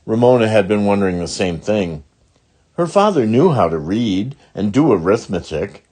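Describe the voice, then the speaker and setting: A man reading a children's chapter book aloud in an expressive storytelling style. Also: on the low side, normal speed, breathy edge. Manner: acts out character dialogue. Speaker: audiobook reader.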